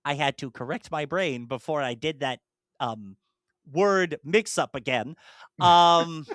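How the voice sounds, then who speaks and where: A man co-hosting a casual podcast, rambling with lots of fillers and restarts, slipping into animated, mock-exasperated asides. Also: fairly high pitch, slow pace, no room sound, clean studio sound. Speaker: podcast co-host and streamer.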